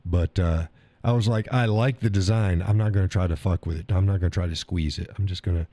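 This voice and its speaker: Low-voiced man, stuffy, rambling. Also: fairly even intonation, fast, warm tone, no echo. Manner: rambling, folksy, reads chat aloud. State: stuffy nose. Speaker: live stream host. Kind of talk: casual live stream chat. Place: home workshop room.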